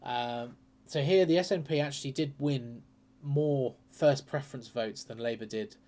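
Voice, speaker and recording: Croaky-voiced man chatting through election data. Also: mid-range voice, normal speed, mellow. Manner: chatty, hesitant, lots of ums and uhs. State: croaky with a sore throat and cold. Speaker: political commentary channel host.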